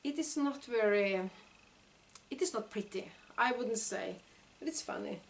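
A woman's voice: fairly high pitch, expressive, measured pace, creaky. A woman in her forties with a Norwegian accent, a knitting vlogger talking casually to the camera at home, hesitant and often searching for words. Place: living room at home.

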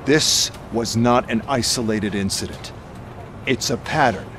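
A man plays an arrogant corporate security chief in a video game. He talks slowly and deliberately, sneering and menacing, with faint noise behind him. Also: medium pitch, fairly bright tone, natural voice. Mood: cold, menacing. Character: Max Becker, abrasive arrogant male corporate security chief.

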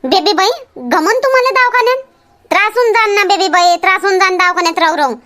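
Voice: very high-pitched, animated, fast, sharp tone, full character voice. A performer voicing a busy, nagging village housewife and mother in a cartoon comedy, with a rural Vidarbha Marathi accent. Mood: earnest, chatty.

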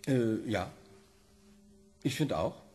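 A man's voice: medium pitch, animated, slow pace, darkish tone. A man playing an eager, flustered professor. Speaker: biologist professor. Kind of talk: radio play acting.

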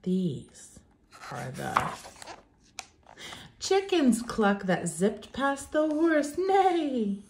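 A young woman teacher reading aloud for young children in an emphatic, theatrical, sing-song way. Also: fairly high pitch.

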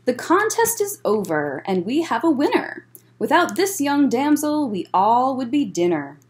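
A proud storybook cicada, voiced by a woman, addressing a crowd of friends. The delivery is declamatory and celebratory, fairly high with big pitch swings. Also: sing-song, a little slow. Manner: announcing, declamatory.

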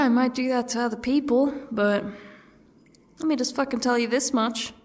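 A woman playing a defiant, sardonic captive in an audio roleplay, speaking haltingly and often sinking toward a whisper. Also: a little high. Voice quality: husky, breathy, creaky. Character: defiant, sardonic captive woman facing her ex's torture. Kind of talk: audio roleplay, one-sided dialogue.

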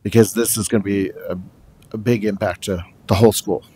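A man who is a special education teacher, giving a steady, explanatory presentation to a school board in a large meeting room and often pausing on fillers. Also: on the low side, a little hiss or hum. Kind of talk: formal presentation.

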